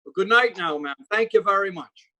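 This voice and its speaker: An actor playing a weary, dismissive city police sergeant in 1940s radio drama style, placating and patronizing. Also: male voice, sits high, lively intonation, moderate pace, bright, forceful tone, exaggerated voice, poor audio. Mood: brusque, dismissive. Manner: hesitant, placating, then brusquely patronizing.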